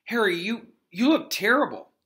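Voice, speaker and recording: A man's voice: high voice, big pitch swings, normal speed, pushed and bright, pitched above the performer's own voice, heavily acted. A man reading a storybook aloud as a worried, blunt schoolboy best friend. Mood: uneasy. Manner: blunt, concerned.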